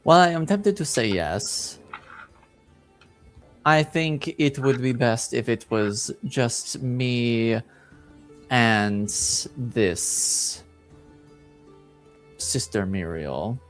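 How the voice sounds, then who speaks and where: A man playing a courteous, deliberate Duke in a tabletop game, speaking formally. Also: medium pitch, expressive, soft background music. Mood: considered. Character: measured, trusting noble Duke, an uncle.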